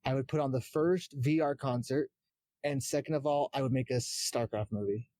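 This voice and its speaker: A man's voice: medium pitch, normal speed, mellow, no echo. A man talking casually about video games as a streamer guesting on a podcast.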